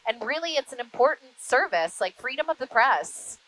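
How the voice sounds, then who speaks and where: A woman who works in broadcasting, answering interview questions conversationally in an animated storytelling way. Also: high-pitched, moderate pace, a little hiss or hum, rough recording. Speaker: interview guest, media broadcaster.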